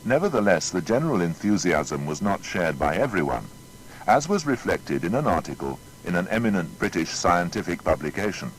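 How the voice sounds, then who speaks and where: A man's voice: low-pitched, very animated, fairly quick, a little hiss or hum, low-quality recording. A man narrating a scripted biography with emphatic, rhetorical delivery.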